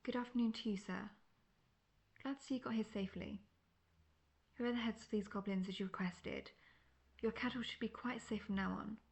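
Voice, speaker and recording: Casting: actress as veteran female monster hunter, wry and self-assured, creaky mid-pitched voice, fantasy audio roleplay. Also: fairly even intonation, a little fast, pauses often, close to an everyday voice. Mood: courteous, pleased. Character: seasoned, cocky, world-weary female monster hunter, friendly mentor.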